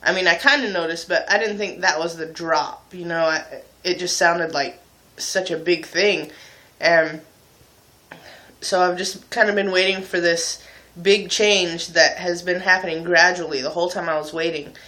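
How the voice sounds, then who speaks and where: A man's voice: high-pitched, steady tone, normal speed, pushed and bright, low-quality recording. A young adult man talking casually and candidly to viewers as a video blogger, in an informal, self-mocking way. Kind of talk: casual confessional chat to viewers.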